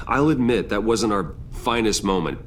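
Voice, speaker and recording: An actor playing a brooding, determined vigilante in a video game, speaking with earnest, restrained insistence. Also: male voice, on the low side, expressive, moderate pace, slight background noise, natural voice. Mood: earnest concern. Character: brooding, determined adult male vigilante billionaire. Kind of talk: dramatic video game acting.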